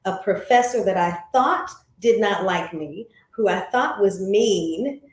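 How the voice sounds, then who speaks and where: A woman's voice: medium pitch, a little mellow. American female interview guest, conversational storyteller, middle-register voice, recorded in a roomy, echoey space. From St. Louis, United States. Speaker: interview guest, former broadcast journalist. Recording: echoey room.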